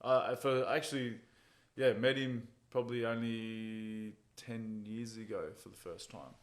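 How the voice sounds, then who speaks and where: A man, an MMA fighter being interviewed, telling stories in a relaxed, casual way and chuckling at his own jokes. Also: lowish voice, a little slow.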